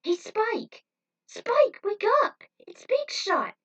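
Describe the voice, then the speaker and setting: Woman acting excitable high-pitched young bounty hunter girl. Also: slow pace, highs cut off, full character voice. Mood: excited, urgent. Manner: shouting, eager. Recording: voice distant from the mic.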